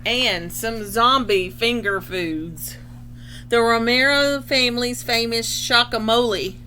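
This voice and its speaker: A woman reading a children's picture book aloud expressively, pausing to explain things in simple terms. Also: a little high, pushed and bright, a little hiss or hum. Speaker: storybook reader.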